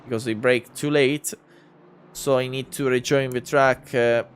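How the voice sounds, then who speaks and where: A man's voice: medium pitch, unhurried, a little hiss or hum. A man in his twenties with an Italian accent, commentating a sim race in English, hesitant, with many fillers and restarts. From Italy. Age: 20s. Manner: gets louder and more excited in close battles.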